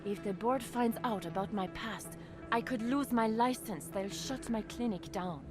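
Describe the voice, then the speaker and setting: An actress playing an anxious, gentle clinic doctor in a video game, speaking in an earnest, worried way. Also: female voice, fairly high pitch, unhurried, over music, natural voice.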